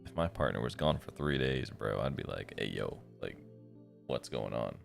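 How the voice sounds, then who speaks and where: A man live streaming, reading a visual novel aloud in a deep, gravelly voice, with casual, slangy commentary. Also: animated, moderate pace. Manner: slangy, informal asides. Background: music.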